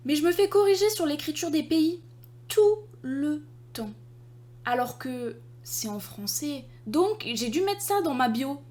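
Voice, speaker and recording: A woman's voice: high-pitched, unhurried. Exasperated young Frenchwoman chatting. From France. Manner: exasperated, lively storytelling with frequent fillers. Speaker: artist and video creator. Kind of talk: casual chat to the camera.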